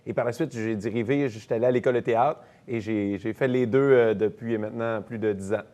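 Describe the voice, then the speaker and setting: A man in his thirties with a Quebec French accent, a comedian and actor chatting as an interview guest, relaxed and self-deprecating as he tells stories. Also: lowish voice, fairly quick. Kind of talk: casual interview chat.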